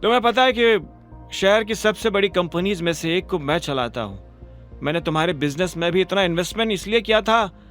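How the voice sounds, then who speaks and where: A man's voice: high voice, fairly bright tone, pitched above the performer's own voice. A man playing a smug, condescending young businessman pressing his suit in an audio drama. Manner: smug, persuasive, sneering. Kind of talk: Hindi audio drama character acting.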